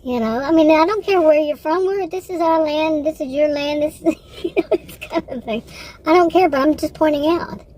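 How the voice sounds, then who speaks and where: A woman with a Texan accent, rambling casually in a personal monologue, halting often, circling back and correcting herself. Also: sits high, darkish tone, low-quality recording. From Texas, United States. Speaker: vlogger.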